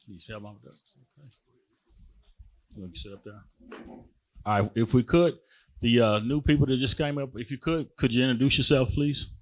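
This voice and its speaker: A man's voice: medium pitch, moderate pace, long pauses. A man moderating a community panel, informal and direct, calling guests up and asking them for their stories.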